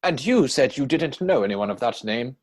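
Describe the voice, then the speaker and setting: A man acting a witty, idle young gentleman from a period comedy. His voice is slightly husky and swings widely in pitch, with arch, playful self-satisfaction in a theatrical reading. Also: expressive, normal speed, close to an everyday voice. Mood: teasing, probing. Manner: mannered, arch, theatrical delivery.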